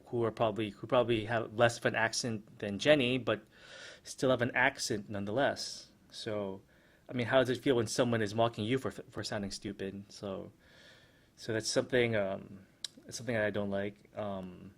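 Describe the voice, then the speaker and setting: A man's voice: lowish voice, steady tone, moderate pace. A man hosting a solo podcast, talking through his thoughts with lots of fillers and restarts. His first language is American English. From Philippines. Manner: hesitant, many restarts and fillers, reflective. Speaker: podcast host. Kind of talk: casual solo podcast commentary.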